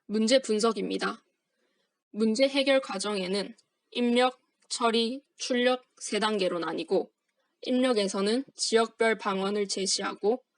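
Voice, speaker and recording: A young woman, a university student, reading a formal project presentation aloud in a steady, scripted manner. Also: medium pitch, no echo, low-quality recording. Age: young adult.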